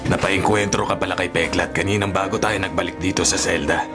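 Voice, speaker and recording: A man's voice: deep voice, steady tone, brisk, lightly acted. A man with a Filipino accent playing a vengeful, conspiratorial prison inmate in a radio drama. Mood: menacing, scheming. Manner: menacing, conspiratorial. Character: vengeful male prison inmate plotting a deadly riot. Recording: music behind the voice.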